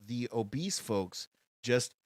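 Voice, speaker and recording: A man's voice: mid-range voice, lively intonation, slow pace, a little mellow. An American man hosting a livestream, chatting casually and mockingly as he comments and answers viewer questions in colloquial speech. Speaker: host of a live commentary show.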